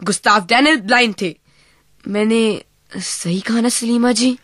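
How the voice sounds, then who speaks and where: Sheru, high-voiced cheeky boyish sidekick. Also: female voice, measured pace, fairly bright tone, no room sound, clean studio sound. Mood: eager, informative. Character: Sheru, cheeky young male sidekick who pops in with facts. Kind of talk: radio show skit.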